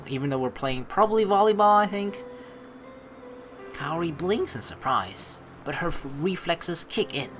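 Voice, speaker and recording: A young man narrating and commenting on a game he plays. His voice is bright and swings widely in pitch, with expressive, rambling delivery. Faint noise sits behind him. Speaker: let's play host.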